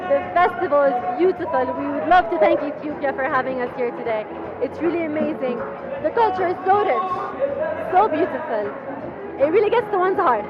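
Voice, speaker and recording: Earnest high-voiced woman at a noisy festival. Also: animated, fairly quick. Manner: earnest, heartfelt. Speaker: festival exhibitor. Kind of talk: interview at a festival. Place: festival grounds. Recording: lo-fi recording over loud music and crowd.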